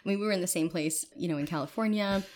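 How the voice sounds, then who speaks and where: Raspy, giggly woman telling frank stories. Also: lowish voice, racing, a little mellow. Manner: giggly, frank, rambling storyteller. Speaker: guest. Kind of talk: casual chat.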